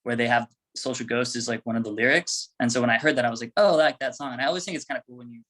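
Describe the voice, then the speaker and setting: A man with a medium-pitched voice chats as an interview guest from a band. His delivery is informal and conversational. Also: steady tone, very fast, fairly bright tone. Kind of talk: casual chat.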